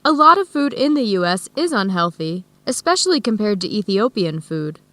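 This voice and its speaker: A woman playing a friendly young American in a radio drama, explaining things clearly. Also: medium pitch, very animated, moderate pace, fairly bright tone, no echo. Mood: reassuring, explaining. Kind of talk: scripted radio drama acting.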